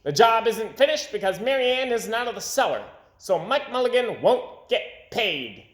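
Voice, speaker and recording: A mean, smug storybook townsman, put on by a man, makes pointed declarations. His voice is pushed high, in a roomy, echoing space. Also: very animated, normal speed.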